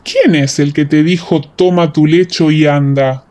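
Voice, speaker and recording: A woman's voice: low-pitched, fairly even intonation, unhurried, dark tone, pitched below the performer's own voice. A woman voicing stern, accusing male religious elders in an audiobook radio drama.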